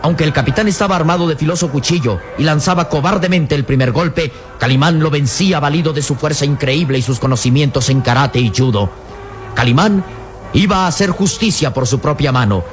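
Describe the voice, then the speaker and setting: Higher-voiced dramatic male serial narrator. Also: brisk. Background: music.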